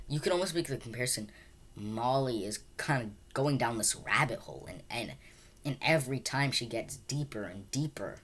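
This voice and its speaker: Female film reviewer, extremely low pitch, casual and unscripted, halting delivery full of repeated words. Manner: halting, rambling, repeats words and restarts sentences often.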